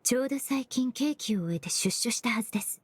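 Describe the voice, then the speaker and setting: A woman's voice: fairly high pitch, lively intonation, brisk, slight background noise, lightly acted. An actress in a Japanese game dub playing a composed, analytical young woman investigator who speaks politely and methodically. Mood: coolly probing.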